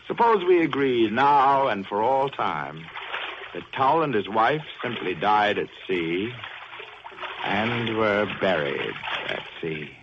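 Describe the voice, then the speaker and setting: An actor playing a guilt-haunted, paranoid shipwreck survivor in intense, melodramatic old-time radio suspense. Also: male voice, on the low side, lively intonation, slow, noisy background. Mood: calculating. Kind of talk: old-time radio suspense acting, first-person narration. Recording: muffled vintage radio recording with music.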